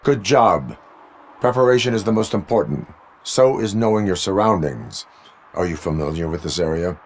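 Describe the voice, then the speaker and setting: An actor playing a stern, by-the-book cyborg police officer in a video game, speaking in a formal, measured, deadpan way. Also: male voice, low-pitched. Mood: stern, instructive. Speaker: police field training officer.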